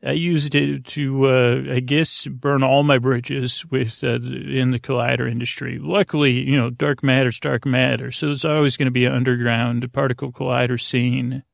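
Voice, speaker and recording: Guy w a creaky gravelly voice rambling thru a bedtime monologue, halting and scatterbrained, super dry close sound. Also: medium pitch, level tone, no room sound. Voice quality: gravelly, rusty vocal tone. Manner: meandering, halting, self-interrupting, absent-minded. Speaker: podcast host.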